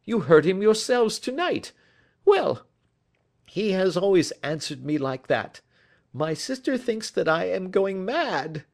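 Brief: male reader as a desperate young thief, pleading and agitated, lively pitch. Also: takes it slowly, vocal fry, heavily acted. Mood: despairing. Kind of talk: audiobook reading.

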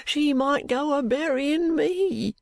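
A woman performs a feverish, stubborn street boy from London for an audiobook. The voice sits high and hoarse, muttering in a slow drawl. It sounds frightened and poorly, and very dry and close. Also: darkish tone, no room sound, heavily acted. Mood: fearful, stubborn. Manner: slow, drawling uneducated dialect. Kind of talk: audiobook character voice.

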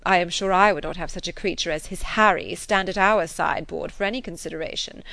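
A woman's voice: on the low side, brisk, gravelly, pushed and bright, no echo. A woman voicing a chattering, self-satisfied young wife who talks in an affected, gushing way. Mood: scolding.

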